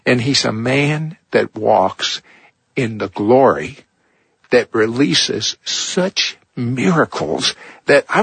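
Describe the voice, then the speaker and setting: A man's voice: medium pitch, gravelly, fairly bright tone, breathy edge, no room sound. A middle-aged man hosting a talk show, asking earnest, leading questions that retell his guest's story. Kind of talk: interviewing a guest.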